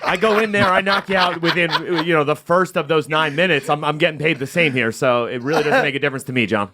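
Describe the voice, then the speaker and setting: A man's voice: on the high side, fast, noisy background. A man in his thirties talking cocky trash about an upcoming fight. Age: 30s. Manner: cocky, bragging. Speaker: podcast guest. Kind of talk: trash talk.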